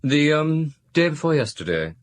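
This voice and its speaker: An actor playing a count's well-bred, hesitant nephew in a radio drama, speaking politely and a little haltingly. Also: male voice, on the high side, very animated. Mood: frank, uneasy.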